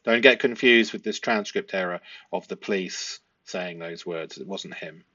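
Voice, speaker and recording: A man giving analytical commentary as a host, reading from a transcript in halting, stop-start phrases and stressing key words. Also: low-pitched, sharp tone, dry recording. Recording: dull, muffled lo-fi audio.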